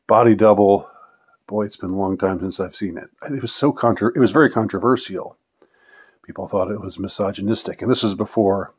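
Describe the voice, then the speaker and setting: A man in his fifties with a fairly low voice talks casually as a vlogger taking questions. He speaks off the cuff in a halting, rambling way, often letting a thought trail off before picking it up again. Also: a little mellow, breathy edge. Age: 50s. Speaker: vlogger answering viewer questions. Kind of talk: casual unscripted question-and-answer monologue.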